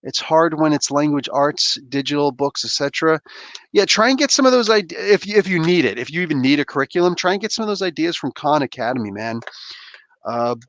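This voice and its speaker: Laid-back rambling man, slightly high voice. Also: animated, fairly quick, a bit bright, no room sound. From New Jersey, USA. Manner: laid-back, rambling, laughs often, slangy. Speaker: livestream host. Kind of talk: casual livestream chat, reading chat and opening toys.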